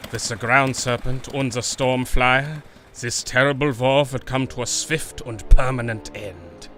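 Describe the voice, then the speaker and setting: A man's voice: mid-range voice, measured pace, bright, forceful tone, faint music behind. A man playing a grandiose, vengeful baron, theatrical and menacing, in a pulp adventure drama.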